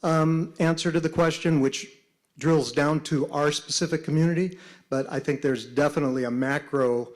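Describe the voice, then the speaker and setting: Male panelist, reflective and deliberate delivery. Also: a little high, fairly even intonation. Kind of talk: panel discussion.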